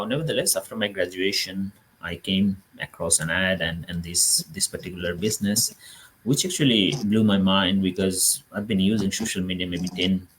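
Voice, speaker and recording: A man hosts a live online talk in a very low voice with a Bangladeshi accent. He explains things to his viewers, with a faint noise behind him. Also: fairly bright tone, poor audio. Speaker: host of an online business show.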